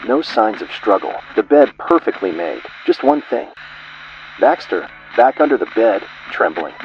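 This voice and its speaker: Grave low-voiced man narrating horror. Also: very animated, a little slow, highs cut off, a little hiss or hum. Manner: ominous, grave delivery in short clipped fragments.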